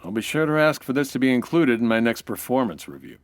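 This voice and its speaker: A gruff, game homicide inspector out of an old radio comedy, played by a man. He talks in dry tough-cop fashion, with animated rises and falls in pitch. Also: fast, lightly acted. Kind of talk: comedic 1940s radio detective acting.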